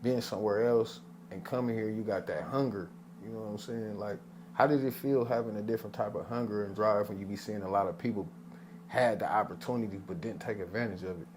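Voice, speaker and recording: A man's voice: fairly low pitch, a little fast, darkish tone, a little hiss or hum. A man hosting a podcast interview in a loose, laid-back way, often saying "man" and "come on". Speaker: podcast host.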